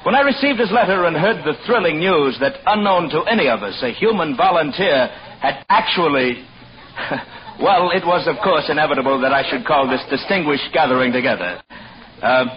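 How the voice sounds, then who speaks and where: A man's voice: on the high side, animated, moderate pace, close to an everyday voice. An actor playing a pompous, genial man of science giving a formal address in 1940s radio acting style. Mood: self-important, pleased. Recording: muffled old radio recording, crowd murmur.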